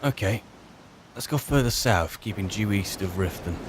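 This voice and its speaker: A lowish-pitched man voicing a male adventurer in a fantasy game roleplay. He muses to himself hesitantly, with wry politeness and a grumbling streak. Noisy game ambience sits clearly behind the voice. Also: lively intonation, normal speed, natural voice. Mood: musing. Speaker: roleplaying game player and narrator. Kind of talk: in-character improvised gameplay commentary.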